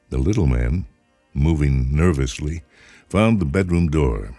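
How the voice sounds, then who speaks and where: A man's voice: extremely low pitch, darkish tone, dry recording, clean studio sound. A man reading a speculative short story as a dramatic, scripted narration. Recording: music behind the voice.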